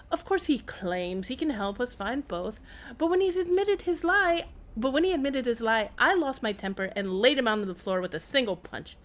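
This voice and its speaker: A woman's voice: on the high side, close to an everyday voice. A woman reading out the game dialogue of an embittered, loyal warrior companion who trusts few.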